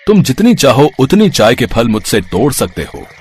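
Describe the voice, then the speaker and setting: A man's voice: medium pitch, very animated, moderate pace, a little mellow, husky. Man voicing generous magical talking tree. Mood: benevolent. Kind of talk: acted dialogue in a bedtime story.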